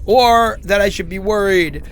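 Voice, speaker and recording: A man's voice: sits high, a little slow, slight background noise. A man playing a sick, stranded man who rambles anxiously and wryly through recorded messages in a horror audio drama. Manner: rambling, conversational, hesitant, wry. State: sick with a cough.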